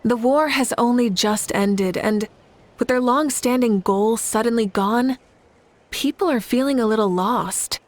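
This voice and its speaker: An actress playing a confident, composed heroic leader with a playful side in a video game, speaking in an assured, wry manner. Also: female voice, on the high side, measured pace, no echo, natural voice. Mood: earnest, assured. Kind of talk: video game character dialogue. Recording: music behind the voice.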